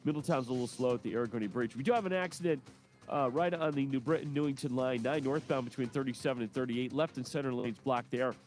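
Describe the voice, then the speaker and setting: Stuffy-nosed man reading fast traffic. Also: medium pitch, darkish tone, soft background music. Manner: brisk, clipped delivery. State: stuffed-up nose. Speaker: traffic reporter. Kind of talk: rapid traffic report.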